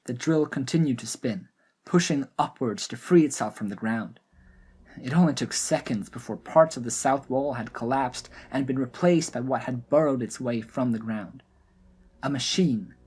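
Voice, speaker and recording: A man narrating a scripted fantasy audio drama in a deliberate, dramatic storytelling manner. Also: medium pitch, a little mellow, husky. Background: background music.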